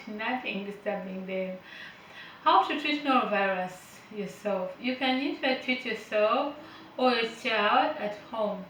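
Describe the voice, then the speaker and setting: A woman's voice: mid-range voice, fairly bright tone, a little hiss or hum. A woman from Uganda, a care worker giving an informal health lesson, reading notes aloud and explaining them with hesitant fillers and light chatty asides. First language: not English; Finnish is her third language. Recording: echoey room, voice a bit far from the mic.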